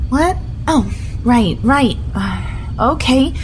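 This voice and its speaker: A woman's voice: sits high, lively intonation, very slow, a little hiss or hum, exaggerated voice, poor audio. A woman playing an anxious spaceship officer in a science fiction audio drama, with a hesitant, alarmed manner. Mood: bewildered, shaken. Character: anxious female spaceship crew officer reading sensors.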